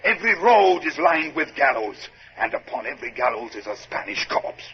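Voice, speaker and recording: An actor playing an embittered, vengeful Spanish army colonel, grave and formal, in a 1950s radio drama. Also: male voice, mid-range voice, very animated, moderate pace. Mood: bitter, grieving. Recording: muffled radio recording, faint noise.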